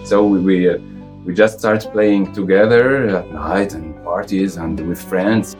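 A man with a Tunisian accent speaking halting non-native English in a casual interview as a musician. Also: deep voice, steady tone, a little mellow, faint music behind. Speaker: musician interviewee, oud player and violinist.

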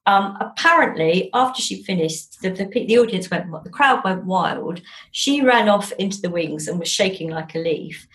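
A woman's voice: mid-range voice, expressive, moderate pace, fairly bright tone, poor audio. A woman with an English accent, telling stories casually and chattily, often breaking off and restarting her phrases as she goes. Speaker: interview guest, novelist. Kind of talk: casual conversational storytelling.

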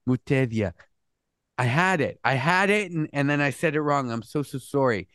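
A man's voice: medium pitch, normal speed, fairly bright tone, no room sound, studio quality. A man hosting a podcast interview, earnest and chatty, with frequent restarts and "mm" sounds. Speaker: podcast host. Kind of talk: casual interview chat.

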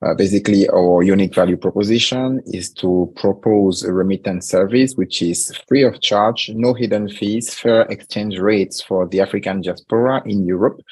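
A startup founder talking through his company in a podcast interview, pausing often with fillers. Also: fairly low pitch, a little mellow, breathy edge, poor audio. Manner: measured, frequent "uh" fillers.

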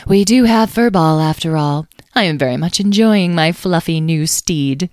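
A woman's voice: on the low side, moderate pace, a little mellow, close to an everyday voice. Woman as a haughty, teasing little creature. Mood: smug. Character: bossy, mocking little creature with haughty humor.

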